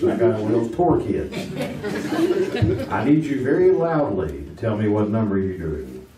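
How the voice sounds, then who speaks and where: A man's voice: lowish voice, expressive, normal speed, crowd noise, lightly acted. A man playing a cheery 1950s game show host in a live stage show, joking and laughing at his own gags. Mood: genial. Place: small theater with live audience. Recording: echoey theater, distant mic, loud noise.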